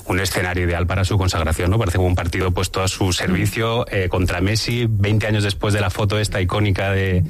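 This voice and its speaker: Male journalist panelist, low voice, accent from Spain, close dry studio sound. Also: little pitch movement, fast, few pauses, no echo. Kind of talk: conversational radio commentary.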